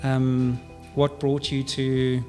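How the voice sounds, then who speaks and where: A man's voice: medium pitch, completely flat delivery, extremely slow, mellow, soft background music. A male podcast host who opens and asks questions hesitantly, with many fillers. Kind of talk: podcast interview.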